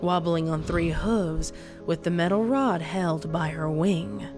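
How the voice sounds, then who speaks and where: A woman's voice: on the low side, slow. A woman reading a dramatic scripted story as its narrator. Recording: music behind the voice.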